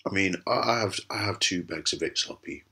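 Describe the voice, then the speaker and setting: Raspy, hoarse deep-voiced man. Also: unhurried, bright, forceful tone. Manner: rambling, many fillers and restarts. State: hoarse from a cough. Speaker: crypto channel host. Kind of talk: casual commentary over screen recording.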